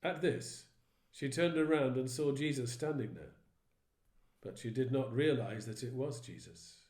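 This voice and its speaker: A man's voice: mid-range voice, a little slow, darkish tone. Man narrating scripture, echoey room. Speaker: vicar. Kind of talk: dramatised Bible reading narration. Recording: roomy echo.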